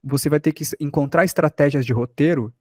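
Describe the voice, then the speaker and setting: A man's voice: on the high side, quick pace, no room sound, clean studio sound. A Brazilian man giving an informal lesson as a workshop instructor, explaining by example with rhetorical questions and check-ins.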